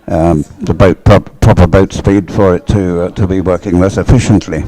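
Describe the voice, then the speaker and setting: A man giving live sports commentary in an explanatory, conversational way, thinking aloud in short, halting phrases. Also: deep voice, fairly even intonation, warm tone.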